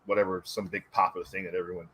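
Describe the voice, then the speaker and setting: A man's voice: sits low, little pitch movement, racing, a bit bright, husky. A man chatting casually as a panelist on a movie talk show, speaking in an enthusiastic, digressive way. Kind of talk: casual rambling chat.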